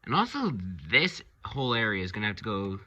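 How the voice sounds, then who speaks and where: Bright-voiced man rambling through a review. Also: lowish voice, wildly swooping pitch, muffled recording. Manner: halting, rambling delivery with pauses mid-phrase. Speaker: hobbyist reviewer presenting his collection. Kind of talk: casual unscripted product review.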